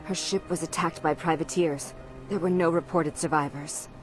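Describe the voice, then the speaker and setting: A woman playing a poised, secretive diplomat in a science fiction video game, speaking in a formal, measured manner. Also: low voice, fairly even intonation, music in the background, natural voice, low-quality recording. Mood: grave, guarded. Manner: formal, measured, dramatic sighs. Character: poised, secretive female alien diplomat, anxious yet commanding.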